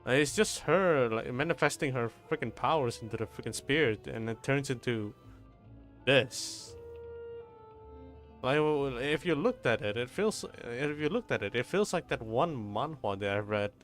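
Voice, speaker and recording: A man's voice: mid-range voice, animated, moderate pace, a bit bright, faint music behind. A man doing casual live gameplay commentary as a streamer, rambling, stammering and swearing freely. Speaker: game streamer.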